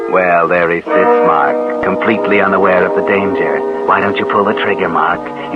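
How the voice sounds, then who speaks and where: An actor playing a sinister, taunting narrator who needles a guilty man in a 1940s radio suspense drama. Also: fairly low pitch. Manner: taunting, insinuating, addresses the character directly. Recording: muffled old 1940s radio recording.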